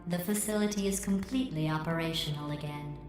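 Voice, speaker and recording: A cold female facility computer, deadpan and passive-aggressive, with quiet menace in every line, as a woman plays her. Her voice sits very low and rings with echo, with game music faint behind. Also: fast talker, lightly acted. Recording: echoey game audio with music.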